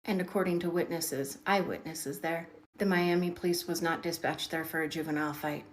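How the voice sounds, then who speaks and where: A woman's voice: on the low side, steady tone, brisk, a little hiss or hum. A woman giving dramatic storytelling commentary, emphatic and repeating words for effect. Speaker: online video commentator.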